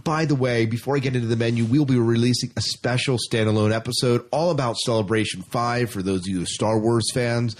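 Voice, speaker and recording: Animated-talking man hosting a podcast. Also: medium pitch, a little fast, few pauses. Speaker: podcast host. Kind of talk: casual scripted-rundown podcast chat.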